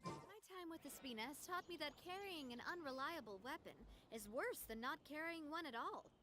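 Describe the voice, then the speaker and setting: A woman's voice: high voice, lively intonation, normal speed, noisy background. A woman voicing a serious, principled female fighter in a video game, composed and formal.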